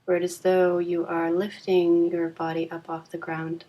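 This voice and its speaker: A woman guides a deep relaxation practice in a fairly low voice. Her delivery is very even, with little rise or fall. She speaks calmly and soothingly, leaving unhurried pauses between phrases. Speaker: yoga nidra guide.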